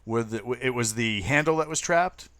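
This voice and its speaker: A man's voice: mid-range voice, normal speed, pushed and bright, no echo. A man playing a tabletop game, talking casually as he describes his moves.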